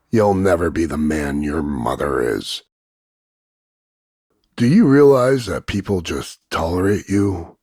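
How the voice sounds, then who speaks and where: A low, gravelly macho action hero for a voice-over demo. He is cocky and gruff, drawling his mocking one-liners slowly with big swings in pitch. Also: no echo, clean studio sound, exaggerated voice. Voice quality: gravelly, husky. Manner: deadpan, drawling, mocking delivery. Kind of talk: scripted voice-over demo of one-line insults.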